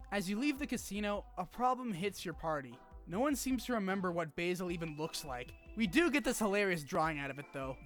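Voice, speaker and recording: A man's voice: high-pitched, fairly bright tone. A man narrating a scripted but casual game review, with informal wry asides and some swearing. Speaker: video essay narrator.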